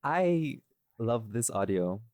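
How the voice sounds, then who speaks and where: A man's voice: medium pitch, very animated, slow, darkish tone, dry recording. A man chatting casually and expressively about his own audio work on a behind-the-scenes podcast. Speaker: audio drama creator, podcast host.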